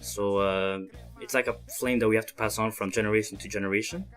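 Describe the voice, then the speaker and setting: A man's voice: fairly low pitch, steady tone, a bit bright, soft background music. A man in his early twenties from Montreal, Canada, giving earnest, hesitant interview answers as a student leader. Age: early 20s. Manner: halting, hesitant phrasing. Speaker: interviewee, student association chairman.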